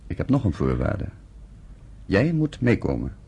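Very low male voice, husky and dark, solemn village headman, earnest, deliberate, radio drama. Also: wildly swooping pitch, full character voice. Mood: firm, persuading.

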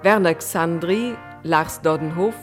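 A woman announcer reading scripted credits in a formal, measured, carefully enunciated way. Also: on the low side, soft background music. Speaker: radio announcer.

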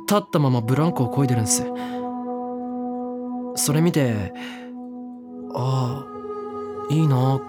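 A man's voice: medium pitch, lightly acted. An actor in a drama CD playing a reckless, thrill-seeking young man who talks in slangy, clipped casual speech. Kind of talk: drama CD acting. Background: background music.

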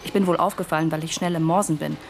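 A woman's voice: deep voice, steady tone, rapid-fire, creaky, faint music behind. An actress playing an earnest, polite young woman in the wartime resistance, chatty and eager, in a naturalistic radio drama. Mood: candid, earnest.

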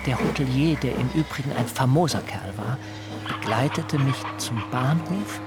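A man's voice: mid-range voice, moderate pace, over loud music, lightly acted. A man playing a fussy, idealistic German traveller in a radio drama, speaking politely and formally with some hesitation. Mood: composed, recounting. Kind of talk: radio drama acting and first-person narration.

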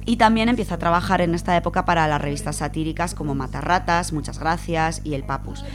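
A woman's voice: fairly low pitch, fast talker. A woman with a Spain Spanish accent presenting an informal radio segment, chatting to listeners with familiar, colloquial asides. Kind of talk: informal talk from notes, biographical radio segment. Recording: music playing behind the voice. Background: music.

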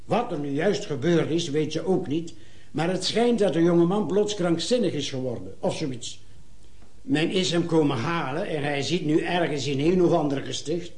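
A man acting a deferential night porter in a radio drama, his voice fairly high and set in a roomy acoustic. He speaks, hesitant and apologetic, always eager to excuse himself. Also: moderate pace, darkish tone, natural voice. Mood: confiding, apologetic. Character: timid, deferential male hotel night porter. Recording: roomy radio-play studio sound.

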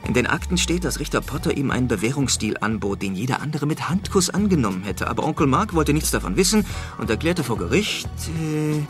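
A man's voice: medium pitch, very animated, fairly quick, natural voice. Actor as police sergeant: mid-range male voice, wry, factual, skeptical, radio drama with music underneath. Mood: wry, reporting. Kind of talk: German radio drama acting.

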